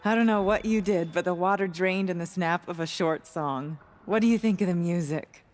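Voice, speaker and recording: A woman's voice: lowish voice, normal speed, lightly acted. A woman playing a proud, grateful village musician in a video game, speaking earnestly and lyrically. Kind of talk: video game cutscene acting.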